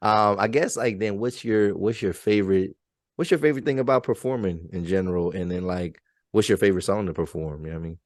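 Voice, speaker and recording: A man in his thirties with a somewhat low voice, recorded fairly dry. He hosts in a loose, conversational style. His speech is slangy and affirming, full of little check-ins with the person he is talking to. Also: fast, no room sound. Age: 30s. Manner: slangy, affirming, repeats "you know what I mean". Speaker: podcast host. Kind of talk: casual interview chat.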